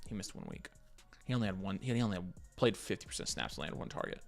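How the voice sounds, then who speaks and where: American guy on a sports q&a stream, lowish voice, chatty and thinking out loud, faint music. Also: animated, gravelly. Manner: conversational, thinking aloud, reads questions then gives quick picks. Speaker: fantasy football livestream host. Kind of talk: casual live Q&A, answering viewer questions.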